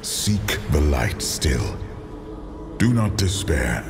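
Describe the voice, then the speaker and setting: An actor playing a grave male archangel of justice in a fantasy game, giving measured, solemn counsel. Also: extremely low pitch, big pitch swings, gravelly, heavily acted. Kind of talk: dramatic fantasy game acting. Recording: loud music behind the voice.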